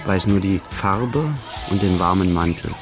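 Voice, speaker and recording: An actor in a literary radio play, playing a reflective man reciting measured poetry. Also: deep voice. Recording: clear music behind the voice. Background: music.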